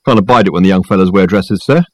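A man's voice: deep voice, fast talker, no room sound. Man as a curt, dour college porter. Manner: clipped, grumbling, deadpan. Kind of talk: dramatised audiobook dialogue.

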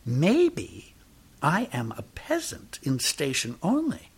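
A man reading aloud puts on a wandering beggar who is soft, drawling and sickly sweet, pious, boastful and forever sorry for himself. The voice sits high above a plain man's register and keeps a gravelly, husky grain. It is a storybook figure told with quiet fondness. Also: wildly swooping pitch, slow pace, exaggerated voice. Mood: wistful. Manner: pious, boastful, self-pitying. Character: pious sentimental male tramp, sickly sweet.

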